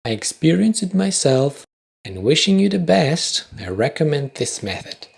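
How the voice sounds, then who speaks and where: A young man whose first language is Polish, hosting a podcast with a wry, self-deprecating, deliberately paced scripted monologue. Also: a little high, sing-song, gravelly, husky. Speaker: podcast host.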